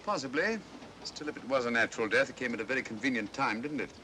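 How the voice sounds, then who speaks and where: An actor playing a dry, incisive English gentleman detective with a British accent, clipped and commanding, in an old mystery film. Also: mid-range voice, expressive, quick pace, a little hiss or hum. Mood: brisk, certain. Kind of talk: 1940s mystery film acting.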